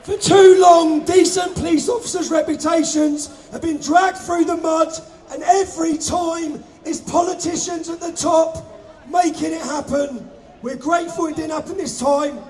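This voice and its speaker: Man, shouting, high strained voice, outdoor rally, crowd behind. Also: fairly even intonation, normal speed, faint music behind. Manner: shouting to a crowd, hoarse-edged. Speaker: rally organiser speaking on stage. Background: crowd noise.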